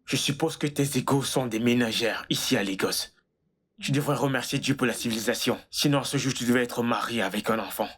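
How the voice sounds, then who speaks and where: A woman dubs a stern older brother in a film drama, lecturing in a measured, scolding way. The tone is very low and somewhat husky. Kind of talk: French dub of a film.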